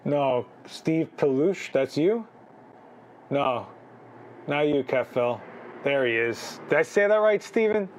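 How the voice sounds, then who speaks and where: A man's voice: a little high, expressive, slow, long pauses, slight background noise. A man hosting a live photo critique, chatting casually, thinking aloud with restarts and asking "right?", his voice a bit worn. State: losing his voice. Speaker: photography livestream host.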